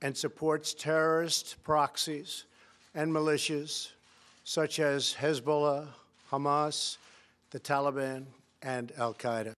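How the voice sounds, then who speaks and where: A man giving a formal prepared speech in a deliberate way, point by point. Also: a little high, level tone, fairly bright tone.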